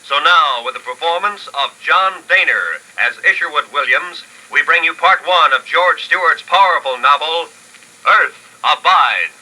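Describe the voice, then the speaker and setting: A man's voice: fairly high pitch, unhurried, pushed and bright, slight background noise, rough recording. A man announcing a 1950s radio drama in a formal, dramatic scripted style on a vintage recording.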